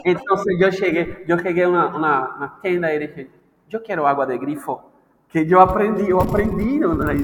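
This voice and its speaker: A man's voice: fairly high pitch, a bit bright. A man, a language teacher from Brazil, chatting casually and animatedly on a podcast, playfully acting out quoted voices. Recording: long reverb, faint background noise.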